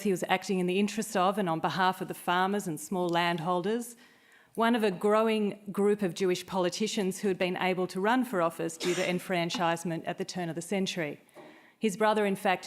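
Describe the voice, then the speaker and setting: A woman with a slightly low voice speaks as an academic at a conference. She delivers a prepared talk in a narrative style, like someone telling a story to colleagues. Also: a little fast.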